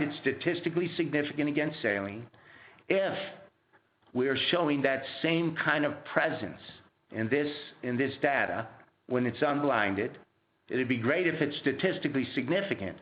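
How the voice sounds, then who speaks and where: A man answering questions as a company executive on a conference call, explaining in a halting way and rephrasing mid-sentence. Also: medium pitch. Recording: muffled, roomy conference phone line.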